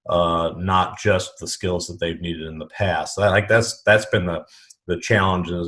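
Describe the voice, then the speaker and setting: Low-voiced man in thoughtful conversation. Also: fairly bright tone. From Chicago suburbs, USA. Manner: thoughtful, repeats words as he restarts phrases. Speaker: guest, retail educator. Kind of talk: conversational podcast interview.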